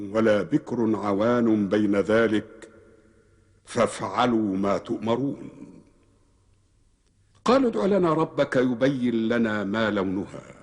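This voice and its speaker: Husky man chanting Quran. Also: medium pitch, a little mellow. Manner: chanted, drawn-out tajwid. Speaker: Quran reciter. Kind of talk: melodic Quran recitation.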